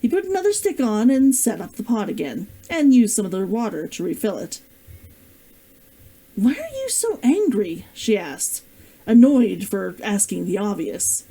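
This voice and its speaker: A woman reading her own novel aloud in an expressive storytelling style. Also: medium pitch, moderate pace, poor audio. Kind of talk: expressive audiobook-style narration.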